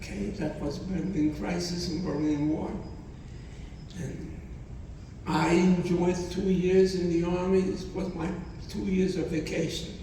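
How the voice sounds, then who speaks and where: A man in his nineties, not a native English speaker, gives a halting testimony to students and often repeats his phrases. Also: sits high, level tone, dark tone, a little hiss or hum. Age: 90s. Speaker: Holocaust survivor speaker. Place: college hall. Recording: echoey hall from far off.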